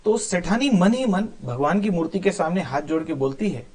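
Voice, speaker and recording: A man's voice: high-pitched, animated, moderate pace, darkish tone. A man telling a moral story informally, explaining as he goes with conversational asides and some English words mixed in. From India.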